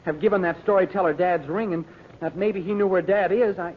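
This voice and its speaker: An actor playing an eager, excitable teenage boy adventurer in an old radio serial, telling things breathlessly in slang. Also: high-pitched, fairly quick, close to an everyday voice. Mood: eager, boastful. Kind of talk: 1930s-40s radio serial acting. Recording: lo-fi noisy vintage radio recording.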